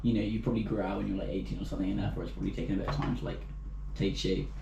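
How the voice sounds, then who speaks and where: A man's voice: low-pitched, racing, gravelly, muffled recording. A young man co-hosting a podcast, chatting casually and telling his own stories with lots of fillers. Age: young adult. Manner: lively, chatty, laughs often, lots of fillers. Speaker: podcast co-host. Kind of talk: casual chat. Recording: roomy with faint background noise.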